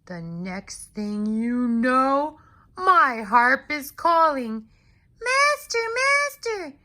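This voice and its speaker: A woman's voice: sits high, sharp tone, exaggerated voice. A woman voicing a big, hungry male giant who tells his side of a storybook tale in an aggrieved, exclamatory way. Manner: exclamatory, indignant, deliberate storyteller. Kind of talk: expressive children's storybook read-aloud.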